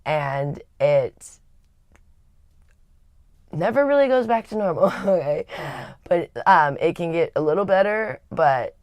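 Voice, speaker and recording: A woman with a low, raspy voice and a gravelly edge. She talks candidly and wanders as she tells her story, often stopping to restart a sentence. The sound is dry and close. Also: animated, takes it slowly, pauses often, darkish tone, no echo, lo-fi sound. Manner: rambling and confiding, with frequent restarts. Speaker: livestream host.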